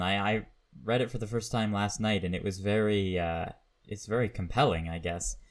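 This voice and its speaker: A Canadian man hosting a podcast, interviewing in a casual, slightly hesitant conversational way. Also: fairly low pitch.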